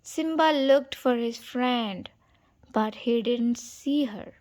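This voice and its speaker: A woman reading a children's storybook aloud as an expressive read-along narration. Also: on the high side, slow pace. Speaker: storybook reader.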